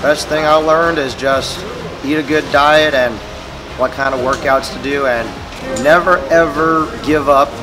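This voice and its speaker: A young man from California, an Army recruit giving relaxed, earnest interview answers with fillers and restarts. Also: a little high, measured pace, music in the background. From California, USA. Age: young adult. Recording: noisy room with air conditioning hum. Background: air conditioning and room noise.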